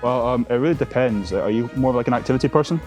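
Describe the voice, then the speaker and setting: A man's voice: medium pitch, rapid-fire, a little mellow, faint music behind. A Glaswegian man in his twenties, a confident, chatty dating show contestant who fills gaps with "like" and "um". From Scotland. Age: 20s. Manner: chatty, confident, many fillers.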